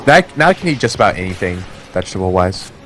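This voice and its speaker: A man's voice: low-pitched, big pitch swings, racing, fairly bright tone, a little hiss or hum. A man chatting casually as co-host of a game stream, rambling and swearing freely. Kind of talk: casual chat over gameplay.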